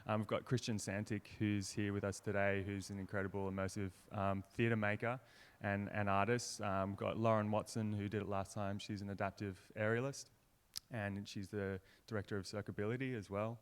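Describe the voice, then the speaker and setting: A man's voice: fairly low pitch, level tone, a little fast, smooth. A man, explaining his work casually and thoughtfully as an interview guest. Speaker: theatre maker being interviewed.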